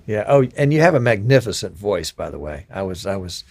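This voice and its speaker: A middle-aged man hosting a casual streamed talk show, chatty and quick to joke and laugh with his guest. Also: lowish voice, big pitch swings, gravelly, a little mellow. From Gulf Coast near Mobile, US. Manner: chatty, jokey, laughs easily. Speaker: show host. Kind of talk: casual interview chat.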